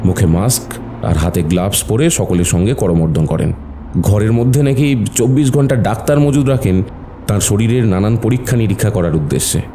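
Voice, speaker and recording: A man acting a calm, patient, shrewd doctor turned detective in an audio drama, measured and mentoring. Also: fairly low pitch, slight background noise, natural voice. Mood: intrigued.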